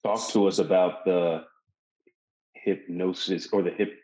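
A man's voice: low-pitched, fairly even intonation, unhurried, over music. A man hosting a casual interview podcast, talking in a hesitant way and repeating his words and phrases. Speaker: podcast host. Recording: roomy echo, dull lo-fi sound.

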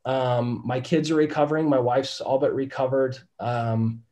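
A man, a real estate investor and podcast guest, telling his story candidly in a casual interview, his voice raspy from illness. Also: mid-range voice, fairly even intonation, normal speed, mellow. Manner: candid, says "right?" often.

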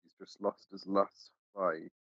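A man's voice: low voice, flat delivery, slow, vocal fry, fairly bright tone, dry recording. A man chatting about fights on a podcast, hesitant with many ums and chuckles, over an online call. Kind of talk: casual sports chat. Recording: dull, dry online call audio.

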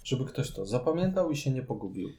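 A man's voice: mid-range voice, sing-song, fast talker, warm tone. A man co-hosting a casual discussion about games, talking in an analytical, conversational way. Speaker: podcast co-host. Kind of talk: casual board game discussion.

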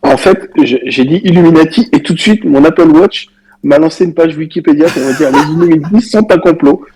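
A man's voice: on the high side, fairly quick, darkish tone, slightly rough, low-quality recording. A man with a cold, joking drily as a podcast co-host. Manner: dry, joking. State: has a cold.

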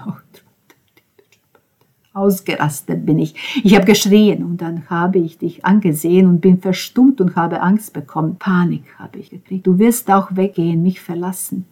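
A woman reading as a grieving, bitter young man, a drummer, in an intense first-person monologue that veers between hushed whispers and outbursts. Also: lowish voice, slightly rough. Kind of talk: dramatic audiobook reading.

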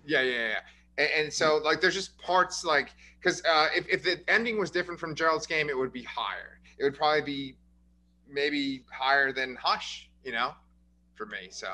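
A man's voice: a little high, normal speed, sharp tone. A man co-hosting a casual film review podcast, hedging his opinions with fillers. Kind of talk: casual chat.

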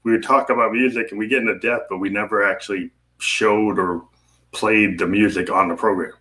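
An American man hosts a live video podcast in a relaxed, casual way. His voice sits low but has a bright, forceful edge. He talks in loose, rambling runs, often stopping to correct himself. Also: brisk. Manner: loose, rambling explanations with frequent self-corrections. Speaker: podcast host. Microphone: video podcast mic.

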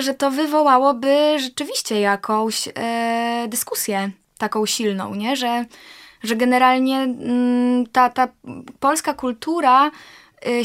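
A woman's voice: on the high side, a bit bright. A young woman from Poland, a guest in a casual interview, talking thoughtfully in long searching sentences with many fillers. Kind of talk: casual conversation.

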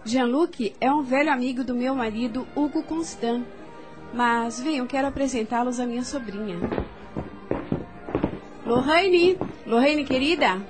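A woman's voice: high-pitched, unhurried, poor audio. A woman acting an elegant, sociable society hostess aunt in a radio drama, with a Brazilian accent and an effusive, genteel manner. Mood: effusive, curious. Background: music.

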